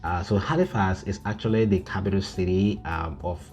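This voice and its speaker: A man with a low-pitched voice presents an informal informational video. He talks to viewers as a presenter working through a list, in a halting way padded with filler phrases. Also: darkish tone. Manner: frequent "you know" filler, halting. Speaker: YouTube presenter.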